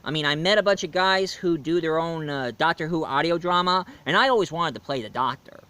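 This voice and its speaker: Male film reviewer, fairly high voice, lively casual storyteller who acts out scenes. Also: a little fast, clean tone. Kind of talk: casual plot retelling.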